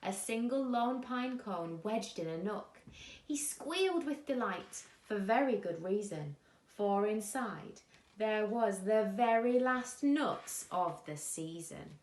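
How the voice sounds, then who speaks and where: A woman reading a children's rhyming picture book aloud as a bedtime story, expressively and with dramatic pauses. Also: mid-range voice, animated, a little mellow. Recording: echoey room.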